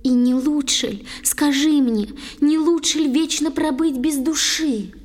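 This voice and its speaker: Childlike water spirit, high-voiced. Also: female voice, unhurried, darkish tone. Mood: pleading, troubled. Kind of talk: theatrical verse audio play for children. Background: music with flute.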